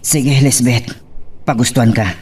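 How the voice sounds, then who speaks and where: A man's voice: mid-range voice, steady tone, unhurried, a little mellow, close to an everyday voice. A man with an Ilocano Filipino accent acting a brooding, lovesick man in a romance drama. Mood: resigned. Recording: faint background noise.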